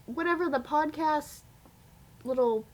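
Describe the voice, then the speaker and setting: A woman's voice: high voice, measured pace, rough recording. A woman chatting casually and playfully as a guest co-host. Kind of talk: casual chat.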